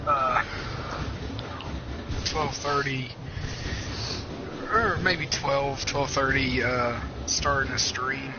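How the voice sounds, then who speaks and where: A man's voice: medium pitch, fairly even intonation, extremely slow, long pauses, very noisy. A man chatting casually as a co-player on a game stream, laid-back and joking. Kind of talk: casual gaming chat. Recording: dull lo-fi audio with music.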